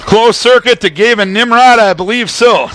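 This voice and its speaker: High-pitched American football radio announcer, man. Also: normal speed. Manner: animated, clipped phrasing, shouts on big plays. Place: stadium press booth.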